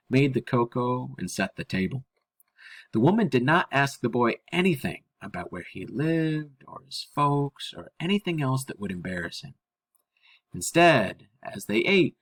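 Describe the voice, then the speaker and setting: A man's voice: mid-range voice, slightly rough, no room sound. A man reading a short story aloud as narrator, expressively.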